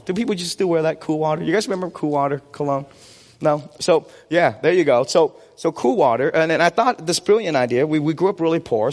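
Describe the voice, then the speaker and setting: A man in his forties, a native Vietnamese speaker, preaching conversationally with personal stories and questions he answers himself. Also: fairly high pitch. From United States. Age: 40s. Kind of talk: sermon with personal anecdotes. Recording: long hall reverb.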